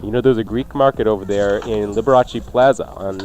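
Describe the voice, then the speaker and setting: A man's voice: on the low side, a little fast, noisy background. A man hosting a radio cooking show in a casual, jokey, chatty style. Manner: jokey, informal banter.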